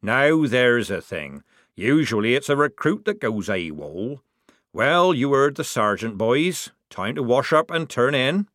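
A man's voice: mid-range voice, big pitch swings, unhurried, fairly bright tone, dry recording, clean studio sound, pitched above the performer's own voice. A man doing a drawling sardonic recruit. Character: sardonic young male recruit. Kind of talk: audiobook character voice.

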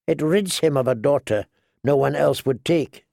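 A man's voice: a little high, moderate pace, creaky, darkish tone, no room sound, studio quality. Man voicing a flippant, bantering young lord. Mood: wry, offhand. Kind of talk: audiobook character dialogue.

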